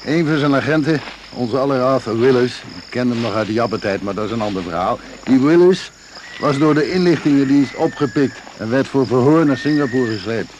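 Man playing shady adventurer, radio play, mid-pitched, sly, flippant, colloquial, hissy old recording. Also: a little fast, a little mellow, natural voice, low-quality recording. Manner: colloquial, mocking, nonchalant. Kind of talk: radio drama acting.

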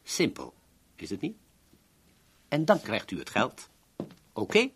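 Florid, wheedling go-between, swooping intonation. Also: male voice, mid-range voice, wildly swooping pitch, takes it slowly, fairly bright tone, a little hiss or hum, full character voice. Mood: wounded pride. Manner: florid, over-courteous, theatrical. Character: polite, wheedling, self-important male shady go-between. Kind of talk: 1970s Dutch radio drama acting.

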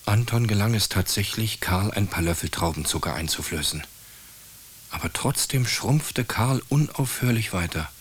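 A man's voice: on the low side, expressive, normal speed, slightly rough. A man narrating a radio drama as scripted storytelling.

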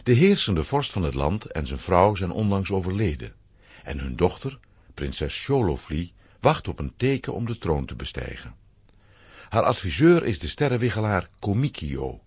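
A man's voice: low voice, big pitch swings, moderate pace, darkish tone, husky, dry recording. A man narrating a scripted children's story in a dramatic storytelling manner.

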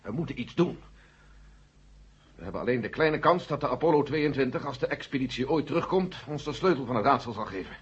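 Earnest, very husky general. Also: male voice, medium pitch, lively intonation, a little fast. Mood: grave, persuasive. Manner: pleading, insistent. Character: earnest, urgent male general defending a secret mission. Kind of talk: 1970 Dutch radio drama acting. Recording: dull, muffled old radio recording.

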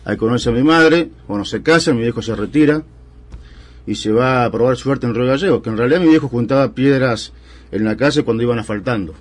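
Argentine union leader, 30s, mid-pitched, rambling interview answers. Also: male voice, brisk. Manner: rambling, filler-heavy storytelling. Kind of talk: radio interview.

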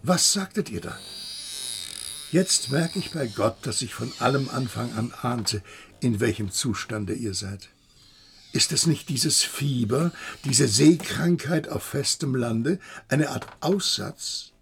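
An actor playing an agitated, inquisitive interrogator with emphatic, insistent questioning in a radio play. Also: male voice, medium pitch, lively intonation, slow pace, creaky, a little hiss or hum. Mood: agitated, accusing. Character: agitated, accusing, inquisitive male interrogator, haughty and probing. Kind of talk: German radio play acting.

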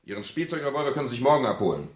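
An actor playing a dry, weary, sardonic private detective in a 1970s German radio crime drama, in dialogue and first-person narration. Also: male voice, mid-range voice, sing-song, rapid-fire, husky. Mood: curt, dismissive. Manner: laconic, sardonic.

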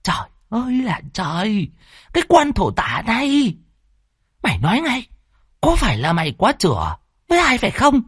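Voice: medium pitch, huge pitch swings, breathy edge, dry recording, clean studio sound, voice pushed up. A storyteller voicing a middle-aged village mother with a northern Vietnamese accent, scolding in hissed, wailing, badgering outbursts. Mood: furious. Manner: hissing, rapid badgering questions, wailing exclamations. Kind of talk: dramatic audio storytelling.

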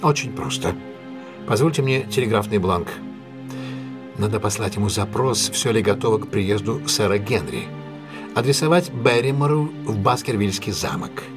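Male actor as self-possessed detective, low pitch, measured, probing, faint music behind, audio drama. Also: animated, natural voice. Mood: brisk, commanding.

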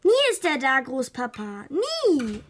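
High, lively young girl. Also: takes it slowly, full character voice. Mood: sulky, bored. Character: Julia, young girl longing for her absent father. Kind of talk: children's radio play acting.

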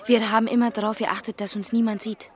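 A woman's voice: a little high, little pitch movement, quick pace, lightly acted. A woman playing a shy, naive teenage butcher's daughter, hesitant and guarded, in a German radio crime drama. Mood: uneasy, confiding. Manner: hesitant, guarded, simple short answers.

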